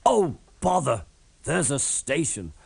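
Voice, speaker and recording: Man voicing a proud, excitable little tank engine. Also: a little high, takes it slowly, gravelly, husky, full character voice. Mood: dismayed. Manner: huffy, excitable, urgent calls. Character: proud, eager young male tank engine.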